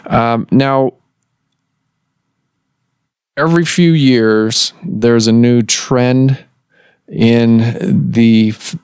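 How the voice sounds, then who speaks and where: A man hosting a medical podcast as a doctor, explaining in a measured way with some fillers, recorded in a clinic office. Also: medium pitch, steady tone, a little mellow, smooth, dry recording, studio quality.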